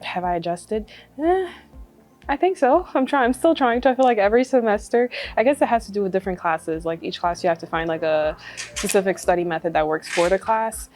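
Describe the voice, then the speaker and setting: A woman's voice: medium pitch, animated, brisk, a little mellow. A woman in her twenties from Congo, a medical student, talking casually with many fillers and frequent laughs. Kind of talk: testimonial interview. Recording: faint music behind.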